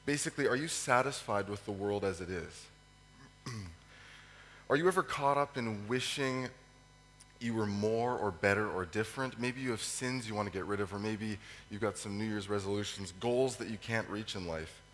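A man with a cold, his voice hoarse and fading, preaches a sermon with many ums and pauses to clear his throat. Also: fairly low pitch, fairly bright tone. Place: church hall. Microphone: microphone. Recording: echoey hall with faint hum.

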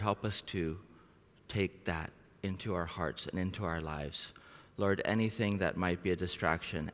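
A middle-aged man, a missionary, preaching in an informal, chatty, conversational way with prayer and storytelling. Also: low voice. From Norway and Southeast Asia. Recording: long hall reverb.

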